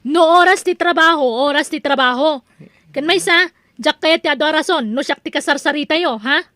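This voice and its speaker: A stern, haughty young HR officer, voiced by a woman, scolds in a high voice. The sound is dry and close, with no room around it. Her delivery is bossy and sharp-edged, like a radio drama villainess. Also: a little fast, dry recording. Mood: scolding. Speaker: actor in audio drama. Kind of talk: Ilocano radio drama acting.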